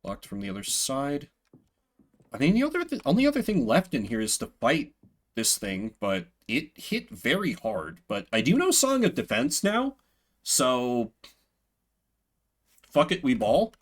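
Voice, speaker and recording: A man's voice: mid-range voice, huge pitch swings, measured pace, long pauses. A man doing live game commentary in a playful, sweary way, reading bits of text aloud and chatting with viewers. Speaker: game streamer. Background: video game music.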